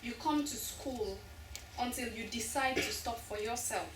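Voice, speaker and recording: A woman's voice: a little high, a little slow. A woman on a panel making an earnest, pleading appeal to young people. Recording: echoey hall from far off, noisy.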